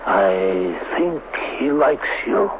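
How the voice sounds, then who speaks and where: An actor playing an obsessed, proud male scientist in a 1970s radio drama, speaking in a deliberate, grandiose, theatrical manner. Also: medium pitch, sing-song, phone-quality sound. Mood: grandiose, fervent. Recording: noisy, thin 1970s radio broadcast.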